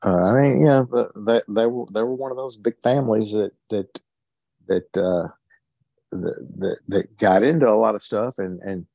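A man with a fairly low voice speaks casually in a book group discussion. He moves haltingly, restarting and repeating short words many times before finishing a thought. The sound is dry, with little room in it. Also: vocal fry, warm tone, dry recording. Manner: halting, heavily stammered repetitions of words.

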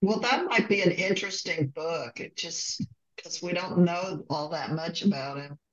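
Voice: medium pitch, a little slow, a bit bright, dull audio. Book group host, mid-range voice, casual and conversational group talk.

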